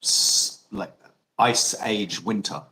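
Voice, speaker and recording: A man's voice: on the low side, takes it slowly, sharp tone, rough recording. A man with an Australian accent, chatting casually and telling rambling stories full of restarts and self-corrections. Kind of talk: casual chat.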